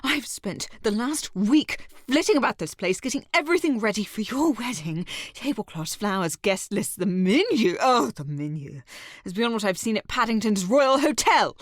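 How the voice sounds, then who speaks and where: A woman's voice: fairly high pitch, sing-song, moderate pace, no echo, studio quality. A woman playing a feisty, scheming servant in an audio drama, with a sassy, indignant, theatrical delivery. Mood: exasperated. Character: feisty working-class servant woman, scheming, possessive lover. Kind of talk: dramatic audio roleplay acting.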